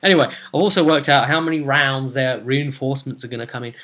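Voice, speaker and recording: A young man chats casually to camera at home, telling a game story. His voice sits at an average pitch, and he rambles along in an animated way, acting out short bits of dialogue as he goes. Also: fast. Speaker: vlogger recapping his tabletop role-playing session. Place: small home room, indoors.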